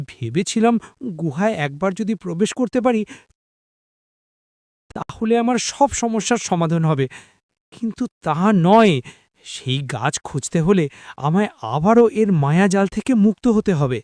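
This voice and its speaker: A woman's voice: mid-range voice, animated, a little slow, long pauses, darkish tone, no room sound, studio quality, lightly acted. A woman voicing an earnest, troubled seeker thinking aloud in a scripted fairy-tale cartoon. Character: earnest seeker caught in magical illusion.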